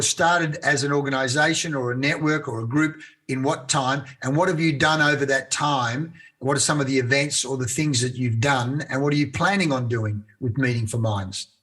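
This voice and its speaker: A man's voice: fairly high pitch, moderate pace, few pauses, a bit bright. A man hosting an interview, asking loose, rambling questions full of fillers. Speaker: interview host.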